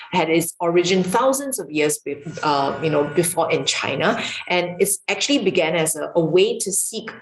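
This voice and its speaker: Woman, low voice, calm explanatory teacher, online lesson, echoey home room. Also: slight background noise. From Singapore. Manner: calm, explanatory, with filler ums. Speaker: qigong and yoga teacher. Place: home room with marble floor. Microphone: camera mic, video recording.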